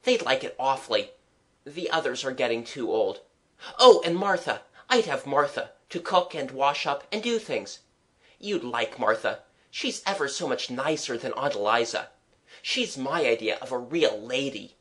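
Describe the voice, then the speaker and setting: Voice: medium pitch, expressive, moderate pace, fairly bright tone, close to an everyday voice. A reader voicing an eager, imaginative young boy in a storybook, chattering earnestly and confidingly. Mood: reflective, fond.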